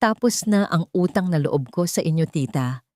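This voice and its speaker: A woman with a Filipino accent voicing a long-suffering young woman in an audio story, calm, bitter and resolute. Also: fairly low pitch, normal speed, no room sound, studio quality, close to an everyday voice.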